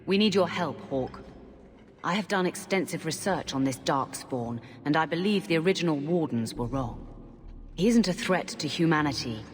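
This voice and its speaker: Stern commander in cavernous reverb. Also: female voice, low-pitched, animated, moderate pace. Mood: earnest persuasion. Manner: stern, insistent, imperious. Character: determined, haughty female warrior commander, obsessed zealot. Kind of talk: dramatic fantasy game acting. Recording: long stone-hall reverb.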